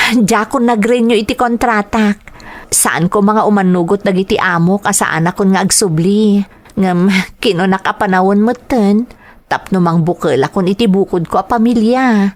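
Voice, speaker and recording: A woman's voice: mid-range voice, moderate pace, lightly acted. A woman playing a devoted, hopeful grown daughter with an Ilocano accent in a family audio drama. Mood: hopeful, affectionate.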